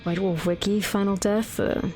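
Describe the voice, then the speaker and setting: A woman's voice: mid-range voice, steady tone, normal speed, darkish tone, soft background music. Swearing woman streamer. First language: Dutch. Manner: rambling, swearing, exasperated. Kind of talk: casual gameplay chat.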